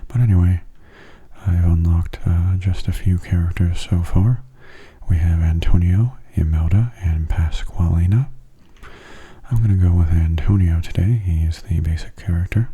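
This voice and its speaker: A man giving soft-spoken ASMR gameplay commentary in a hushed, breathy, unhurried and casual way, close to the microphone. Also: fairly even intonation, muffled recording. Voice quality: breathy, husky low voice. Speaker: gaming ASMR video host. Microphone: close mono microphone.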